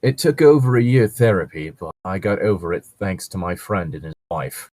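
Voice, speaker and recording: A man's voice: low-pitched, animated, normal speed, darkish tone, no echo. A man reading aloud as a bitter, grieving young wizard who survived a war, in a fan fiction story. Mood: resigned.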